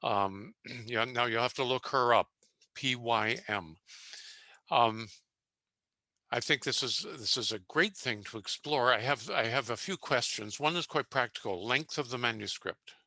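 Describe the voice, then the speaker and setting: Older male publisher, halting and hesitant, dry close sound. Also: mid-range voice, bright, forceful tone, no room sound. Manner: hesitant, halting, many fillers and restarts. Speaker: publisher on a pitch panel. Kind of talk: giving feedback on a video call.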